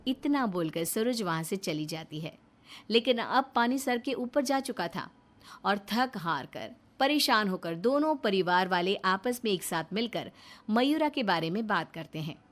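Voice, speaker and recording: A woman reading scripted narration for a cartoon family story in an expressive storytelling manner. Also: medium pitch, measured pace. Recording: music behind the voice.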